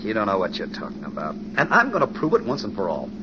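An actor playing a brash, wisecracking newspaper columnist and amateur sleuth in an old radio drama, insistent and impatient. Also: male voice, lowish voice, huge pitch swings, quick pace, creaky, exaggerated voice. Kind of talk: 1940s-50s radio drama acting. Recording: dull, noisy old radio recording.

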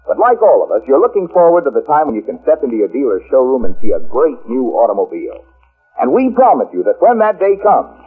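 An old, narrow-band radio recording of a male commercial announcer. His voice is fairly high with sweeping intonation. He phrases formally and deliberately, in classic forties advertising style. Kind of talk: 1940s radio advertising narration. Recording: tinny, band-limited 1940s radio recording.

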